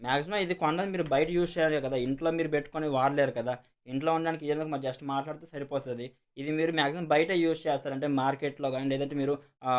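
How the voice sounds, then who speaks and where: A man's voice: fairly high pitch, clean tone. A man with a Telugu accent casually explaining and demonstrating a product as a video host, repeating himself and mixing in many English words. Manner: repetitive, peppers speech with English words.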